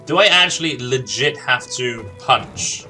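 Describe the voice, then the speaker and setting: A man's voice: mid-range voice, expressive, unhurried, bright, forceful tone, soft background music. A man doing casual, jokey gaming commentary, talking to himself and reading game dialogue aloud. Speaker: gaming streamer.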